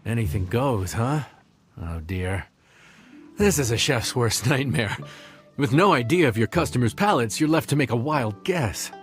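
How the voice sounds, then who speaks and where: A man's voice: mid-range voice, lively intonation, a little slow, lightly acted. A man playing an encouraging adult chef mentor in a video game. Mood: wry, encouraging. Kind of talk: English game voice acting. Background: music.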